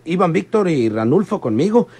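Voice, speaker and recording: A man's voice: a little high, very animated, moderate pace, mellow, breathy edge, full character voice. An actor in a radio drama playing a nervous, defensive henchman who sounds flustered and pleading. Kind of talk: radionovela acting.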